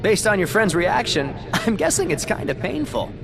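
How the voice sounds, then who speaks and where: An actor playing a smug corporate villain in a video game, taunting and pompous in a theatrical way. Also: male voice, high-pitched, very animated, moderate pace, gravelly, music in the background, heavily acted. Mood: mocking menace. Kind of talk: video game cutscene acting.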